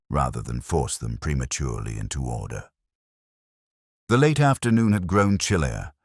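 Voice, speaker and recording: A man reads a literary mystery as narrator. His voice is exceptionally deep and moves widely in pitch through measured storytelling, with a dry, close sound. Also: no room sound.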